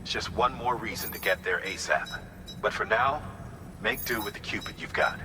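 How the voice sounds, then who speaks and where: An actor playing a steady, authoritative male commander giving a measured mission briefing in a video game. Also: lowish voice, natural voice. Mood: businesslike. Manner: measured, instructive. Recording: long hollow reverb, some noise.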